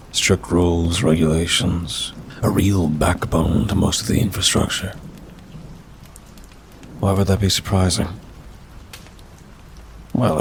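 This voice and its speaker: Man acting as a male demon, gravelly fry, languid drawl, dry mockery, intimate ASMR monologue, faint rainy noise. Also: deep voice. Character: bored male demon with claws and fangs, wry, condescending.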